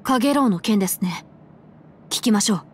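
A woman's voice: mid-range voice, animated, fairly quick, slightly rough. A woman voicing a poised, serious young female officer in a Japanese video game, formal and composed.

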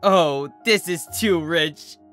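A narrator voicing a brash, excitable young female pony prankster with a loud, emphatic delivery. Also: mid-range voice, takes it slowly, faint music behind, pitched above the performer's own voice. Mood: cocky.

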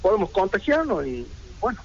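A man's voice: sits high, huge pitch swings, brisk, vocal fry, slightly rough. A middle-aged man with an Argentine accent, making an indignant protest statement, firing rhetorical questions one after another. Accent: Argentine Spanish. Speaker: merchant speaking to local radio. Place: indoors, seated in red armchair. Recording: lo-fi radio broadcast audio.

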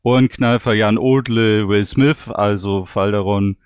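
A man's voice: fairly low pitch, fairly even intonation, measured pace, clean tone, no echo. A man chatting casually as a panelist reviewing audio dramas, enthusiastic and rambling as he recalls details.

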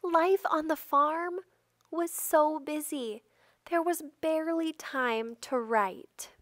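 A woman reading a children's picture book aloud with careful, expressive storytelling delivery. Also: high voice, slow, no echo, studio quality. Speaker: storybook reader.

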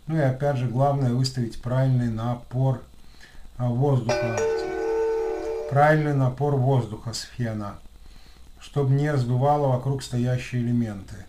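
A man in his thirties with a lisp, giving casual, unhurried hands-on commentary as he works at a desk. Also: medium pitch, fairly even intonation, music in the background. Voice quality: lisping after dental work. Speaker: electronics repair technician and video host. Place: small room at a desk, indoors. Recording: roomy echo with clear background noise.

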